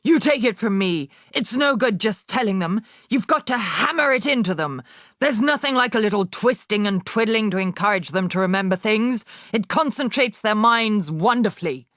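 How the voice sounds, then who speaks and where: A woman's voice: lively intonation, normal speed, fairly bright tone, no room sound, clean studio sound, lightly acted. A woman voicing a towering, bullying headmistress who barks her words with harsh contempt. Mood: gloating. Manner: barking, booming, contemptuous.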